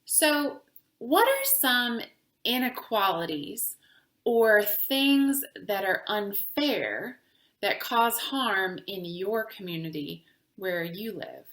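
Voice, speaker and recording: A woman reading a children's book aloud in a deliberate, teacherly way, pausing to speak to young listeners. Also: medium pitch, a bit bright, echoey room. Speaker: reader of children's books for a virtual summer camp. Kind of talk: read-aloud storytelling with asides to children.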